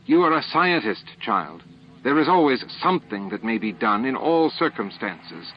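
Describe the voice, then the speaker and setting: A man's voice: mid-range voice, very animated, moderate pace, a little hiss or hum, lightly acted. A man voicing a fierce, snappish elderly female alien scientist in an audiobook drama. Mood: brisk, urging. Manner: snappish, forceful, righteous. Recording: dull lo-fi audio with music.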